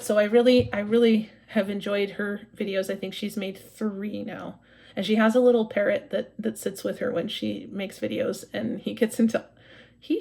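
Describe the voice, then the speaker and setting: A woman in her forties, originally from Maine, talks casually to her viewers about her stitching hobby. She rambles, restarts her sentences and fills the gaps with plenty of "um"s, in a friendly everyday voice at a middle pitch. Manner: chatty, rambling, self-correcting with many fillers. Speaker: cross-stitch vlogger. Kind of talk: casual chat to camera.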